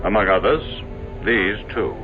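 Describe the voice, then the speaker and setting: A man's voice: lowish voice, unhurried, phone-quality sound, lightly acted. An actor playing an agitated, demanding and suspicious businessman in a brusque 1970s radio drama style. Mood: agitated, pleading. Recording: old radio broadcast, music behind.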